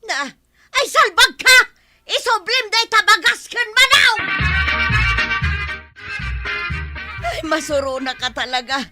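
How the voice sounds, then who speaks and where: An actress with an Ilocano accent playing a put-upon, quarrelsome village wife in an audio drama, given to exclaiming and complaining. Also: female voice, extremely high pitch, big pitch swings, moderate pace, music in the background. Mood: angry, aggrieved.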